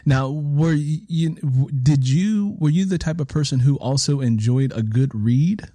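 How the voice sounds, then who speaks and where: A man's voice: on the high side, a little slow, dark tone, no echo. American man hosting in a dry, close room. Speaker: interview show host and author. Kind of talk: conversational interview, sharing anecdotes.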